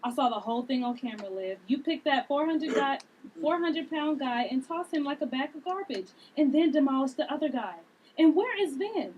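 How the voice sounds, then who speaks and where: A woman's voice: high-pitched, expressive, normal speed, lo-fi sound, a little hiss or hum. A woman reading an insistent, inquisitive friend at a drama table read. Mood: alarmed.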